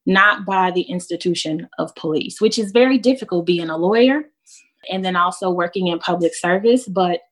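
A woman's voice: fairly low pitch, normal speed. A woman giving a reflective personal account as a panelist. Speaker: panelist, lawyer.

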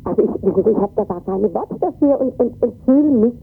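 A distressed woman giving an agitated, halting eyewitness account in an interview, often repeating words and tagging her sentences with "ne". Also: fairly high pitch, animated, gravelly, husky, narrow, tinny audio, soft background music. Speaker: interviewee, volunteer refugee worker.

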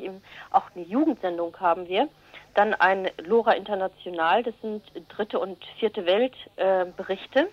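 A woman's voice: medium pitch, no room sound. A woman on the radio staff explaining things unscripted in a phone interview, hesitantly searching for words. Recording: dull, band-limited telephone line.

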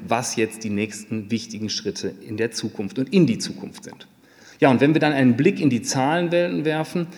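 A man's voice: medium pitch, darkish tone. A man giving a formal business presentation, explaining figures to listeners in corporate jargon. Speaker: company executive presenting figures. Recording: long reverb of a hall.

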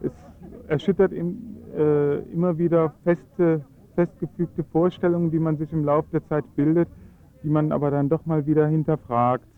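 A man's voice: fairly high pitch, flat delivery, moderate pace, narrow, tinny audio. A man speaking German as his first language, earnestly explaining his views in a radio interview with frequent hesitations. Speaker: Esperanto advocate.

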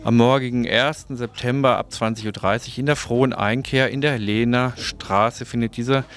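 A man's voice: mid-range voice, steady tone, moderate pace, fairly bright tone, soft background music. A man hosting a radio news programme, reading out announcements and signing off. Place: radio studio.

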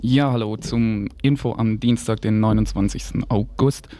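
A man's voice: lowish voice, steady tone, moderate pace, mellow, faint music behind. A man reading scripted news commentary as a community radio presenter in a studio. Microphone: single shared studio microphone.

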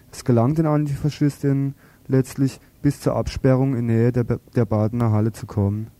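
A man's voice: mid-range voice, dark tone, dry recording. A man reading out a scripted radio news report as a reporter.

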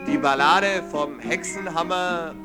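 High-voiced man singing a slow ballad. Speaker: singer-songwriter. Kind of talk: folk protest ballad singing with guitar. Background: acoustic guitar.

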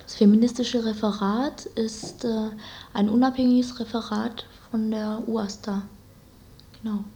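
Mellow-voiced young woman, radio guest. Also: on the high side, steady tone, measured pace. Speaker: interview guest, student activist. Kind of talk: casual, spontaneous interview answers.

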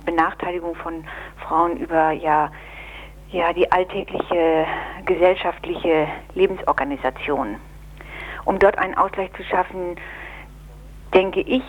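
A woman being interviewed as an official, answering in deliberate, formal and analytical phrases. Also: fairly low pitch, low-quality recording. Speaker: interviewed women's equality officer. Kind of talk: spoken radio interview answers.

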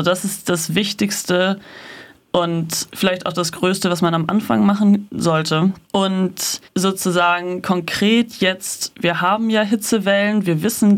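Even-toned woman explaining things informally. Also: on the low side. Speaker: climate and health activist being interviewed. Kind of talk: informal explanatory interview answers. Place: radio studio.

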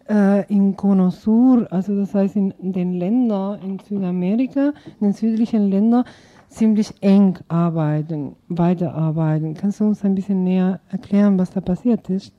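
A woman's voice: mid-range voice, dry recording. A woman asking questions conversationally as a radio interviewer, searching for words as she goes. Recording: tinny, narrow phone-like sound.

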